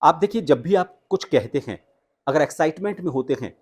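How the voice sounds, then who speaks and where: A man speaking as a voice coach, explaining techniques in an instructional way with deliberate emphasis on key words. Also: a little high, animated, darkish tone, husky. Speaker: voice coach and host. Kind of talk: instructional tutorial with spoken demonstrations.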